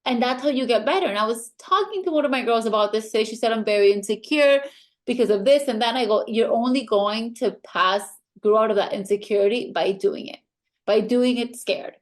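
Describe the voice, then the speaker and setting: High-voiced woman with a foreign accent. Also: brisk. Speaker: sales team mentor. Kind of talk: motivational team training call talk.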